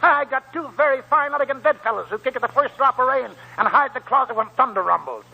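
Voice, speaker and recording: High, cackling raspy old prospector. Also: male voice, expressive, fast talker, phone-quality sound, full character voice. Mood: gleeful, mocking. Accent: American. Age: older. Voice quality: raspy, creaky. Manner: folksy, rambling, mocking. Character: wily, gleeful old gold prospector, cackling and scornful. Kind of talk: 1940s radio drama acting. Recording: old 1940s radio broadcast.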